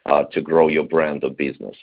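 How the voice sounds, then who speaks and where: Deep-voiced male host on a phone-like line. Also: fairly even intonation, gravelly. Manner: halting, feeling his way through questions. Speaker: webinar host. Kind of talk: interview questioning, unscripted. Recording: thin phone-like webinar line.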